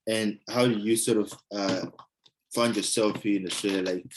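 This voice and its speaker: A man's voice: fairly low pitch, steady tone, moderate pace, slight background noise, rough recording. A male interviewer who thanks his guest and asks loose, friendly follow-up questions. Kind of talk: casual interview chat.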